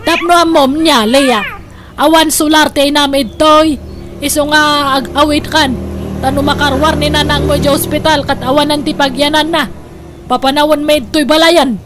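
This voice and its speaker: Woman acting a gossipy scolding aunt, Ilocano accent, high voice, sharp nagging delivery, comic radio drama, clear background noise. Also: fairly even intonation, normal speed, close to an everyday voice. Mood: angry. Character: scolding, grasping, gossipy middle-aged aunt.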